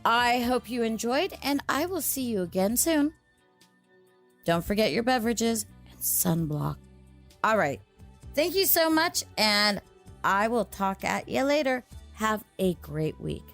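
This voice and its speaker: A woman's voice: on the high side, sing-song, unhurried, a bit bright. A woman hosting a story channel, chatting casually to her listeners in a rambling, digressive way. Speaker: host and story narrator. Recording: music behind the voice. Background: music.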